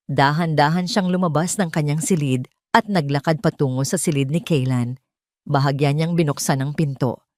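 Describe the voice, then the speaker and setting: Low-voiced woman narrating a drama. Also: a little mellow, no room sound, clean studio sound. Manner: measured, emotional storytelling. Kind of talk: scripted audio drama narration.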